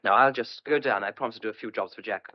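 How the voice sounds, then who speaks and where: An actor playing a restless, guarded lodger in an old-fashioned radio drama, speaking in a clipped, hesitant, evasive way. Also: male voice, fairly low pitch, quick pace, close to an everyday voice. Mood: casual. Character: restless, guarded male lodger hiding a secret. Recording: dull lo-fi radio drama recording.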